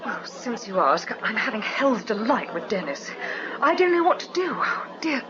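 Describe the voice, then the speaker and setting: Radio comedy: exasperated, gossipy society wife, lively intonation, muffled recording. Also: female voice, fairly high pitch, moderate pace, crowd noise. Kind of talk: radio comedy drama acting. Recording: muffled radio play recording, background noise.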